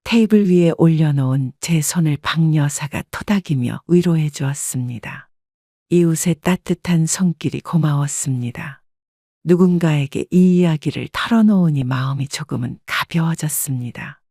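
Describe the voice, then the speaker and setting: A woman narrating a first-person family story in a calm, measured manner. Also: low-pitched, no room sound, studio quality.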